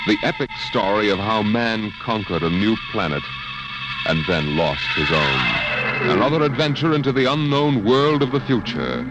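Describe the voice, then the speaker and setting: Lowish portentous male announcer over loud music. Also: lively intonation, moderate pace, rough recording. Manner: portentous, grand. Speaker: radio announcer. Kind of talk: dramatic 1950s radio promo announcing.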